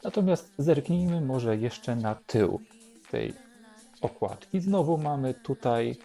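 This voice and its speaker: Male host, explanatory and unhurried, mid-pitched and relaxed, over light music. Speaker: host presenting a record collection. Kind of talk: unhurried show-and-tell narration.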